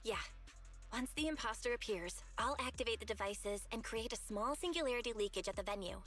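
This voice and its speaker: Confident young techie girl from an anime game, highish voice, casual, music behind. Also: a little fast, fairly bright tone. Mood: matter-of-fact. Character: confident young female tech whiz. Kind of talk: scripted anime game acting.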